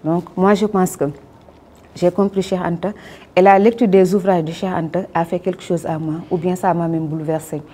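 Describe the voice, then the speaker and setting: A Senegalese woman being interviewed as a guest, speaking French in a measured, formal way with frequent hesitations; her first language is Wolof. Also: lowish voice, flat delivery, dark tone, slightly rough. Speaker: guest, publisher and Wolof teacher. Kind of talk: interview answers.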